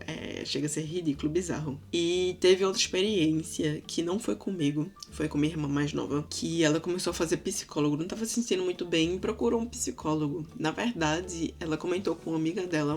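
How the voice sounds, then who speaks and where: A woman's voice: low voice, a little mellow. Young woman vlogger, Recife accent, chatty and direct with viewers, faint music under her voice. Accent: Recife Brazilian Portuguese. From Brazil. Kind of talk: casual storytelling to camera.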